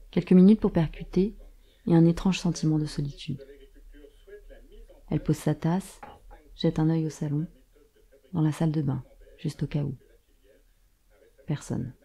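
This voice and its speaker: A woman narrates an audio drama in short, terse sentences. Her voice is very dark and muted, husky and gravelly with creak. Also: low-pitched. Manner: terse present-tense storytelling.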